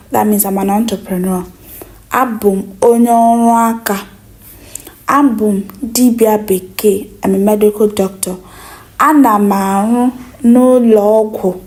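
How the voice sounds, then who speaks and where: A woman's voice: medium pitch, steady tone, a little mellow. Woman teaching a language lesson. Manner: slow, repeats each phrase for learners.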